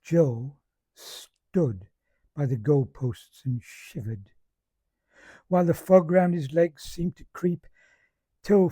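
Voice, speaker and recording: A man recites comic verse like an amateur monologist. His voice sits a little high yet is very dark and soft-edged, with a husky grain. The delivery is measured storytelling in broad dialect, captured close and dry. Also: no echo.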